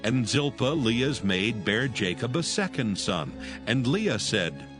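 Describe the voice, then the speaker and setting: A man's voice: medium pitch, very animated, slow pace, over music. A man narrating scripture from a script in a solemn style.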